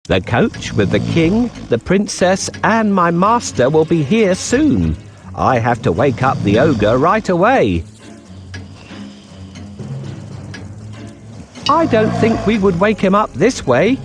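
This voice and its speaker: Man putting on a crafty talking cat, high lively voice, wheedling and polite, storybook hero, dry close sound. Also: measured pace, music in the background. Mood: eager. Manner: sly, wheedling flattery. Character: clever scheming male cat, bold and flattering.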